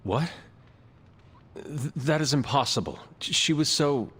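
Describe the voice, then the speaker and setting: A man acting a stoic ancient demon hunter in a video game cutscene, with measured, formal phrasing. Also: mid-range voice, expressive, a bit bright, slightly rough, full character voice. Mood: shocked, disbelieving.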